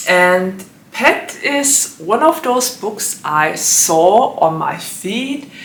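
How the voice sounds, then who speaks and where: A slightly raspy, hoarse woman chats about her reading plans at an unhurried pace, giggling between phrases. Her voice sits bright and forward, and the room around her sounds echoey. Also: fairly low pitch. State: hoarse from allergies. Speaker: book vlogger. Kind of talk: casual book vlog chat.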